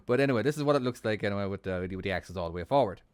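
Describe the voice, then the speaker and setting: A man's voice: on the low side, expressive, quick pace, a little mellow, no echo, clean studio sound. A man with an Irish accent hosting his own channel, chatting casually and laughing often while narrating a truck-driving game. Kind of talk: casual gameplay commentary.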